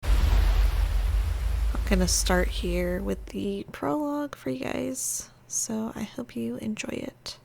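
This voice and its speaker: A woman's voice: on the high side, expressive, takes it slowly, gravelly. A woman hosting a let's play with casual, chatty game commentary and wry asides. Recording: loud music behind the voice.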